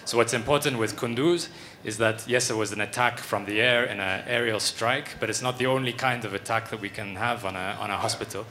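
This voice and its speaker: Man, panelist, measured and articulate, bright forceful tone, lowish voice. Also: fairly even intonation, slight background noise. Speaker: panelist for a medical aid group. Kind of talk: panel Q&A answer.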